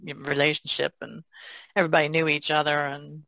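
A woman speaking as a podcast guest in casual conversation, halting and reflective. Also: sits low, level tone, creaky, a bit bright, no room sound, rough recording.

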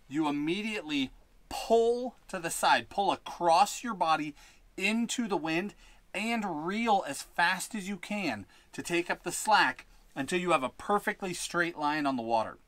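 A man's voice: sits high, lively intonation, measured pace, fairly bright tone, dry recording. A young man giving fishing tips outdoors on a windy lakeshore, talking in an instructive, upbeat way into a head-mounted camera. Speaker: fishing vlogger. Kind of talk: outdoor tutorial vlog. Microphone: head-mounted action camera.

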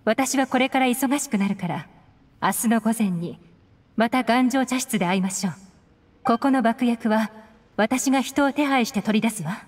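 An actress playing a composed, shrewd covert operative in a game, calm and measured with a faint edge of threat. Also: female voice, fairly high pitch, lightly acted. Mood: calm, businesslike. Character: cool, composed female covert operative, shrewd and self-assured. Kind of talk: Japanese game voice acting.

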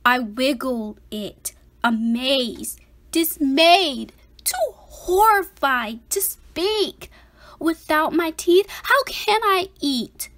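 A woman voicing an anxious, dramatic five-year-old boy in an expressive picture-book read-aloud, with emphatic, theatrical delivery. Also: sits high, very slow, exaggerated voice. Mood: scared, fretful.

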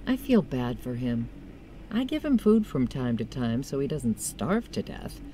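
Game role: kindly middle-aged woman shopkeeper, low register, expressive, noisy backdrop. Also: a little slow, heavily acted. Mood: kindly, concerned. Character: kindly working mother, female small-town shopkeeper. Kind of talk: video game cutscene acting.

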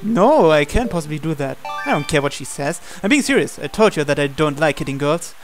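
A man with a slightly high voice reads a visual novel aloud. He takes the male lead's dialogue and thoughts in character. Faint music plays beneath him. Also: lively intonation, a little fast. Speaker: gameplay reader voicing the male protagonist. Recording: music playing under the voice.

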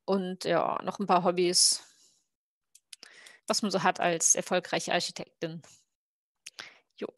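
A woman's voice: on the low side, flat delivery, slow, long pauses, no echo, clean studio sound. A woman talking casually as a player in an online tabletop role-playing game. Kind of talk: casual introduction of a game character. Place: indoor room at home, online video call.

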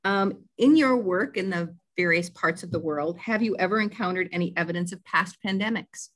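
A woman moderating an online Q&A, polite and measured, with soft fillers. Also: fairly low pitch. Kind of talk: reading audience questions. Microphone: video call.

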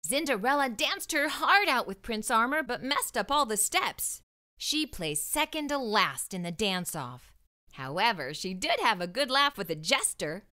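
Bright, animated woman reading a storybook. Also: on the high side, a little slow. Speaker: storybook read-aloud narrator. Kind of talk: expressive children's story reading.

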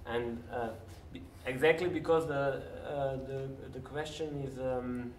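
A man's voice: mid-range voice, steady tone, a little slow. Serbian man in a roomy space. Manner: hesitant, searches for words in non-native English. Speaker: interview guest, parkour coach. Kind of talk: casual interview conversation. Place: large empty café-like room, at a table indoors. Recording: roomy, distant mic, faint noise.

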